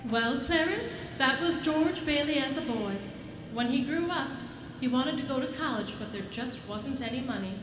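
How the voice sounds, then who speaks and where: A woman playing a brisk, kindly senior angel in a live radio play, explaining things with authority. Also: high-pitched, animated, over music. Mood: explanatory. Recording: echoey room with clear background noise.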